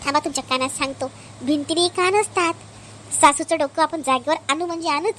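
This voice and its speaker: A woman acts out a feisty young daughter-in-law in a cartoon household. The voice is extremely high, almost childlike in pitch, blunt and outspoken in a Varhadi Marathi accent. Faint noise sits behind it. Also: fairly quick, fairly bright tone. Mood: scheming. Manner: blunt, colloquial, rhetorical questioning. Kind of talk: cartoon family drama dialogue.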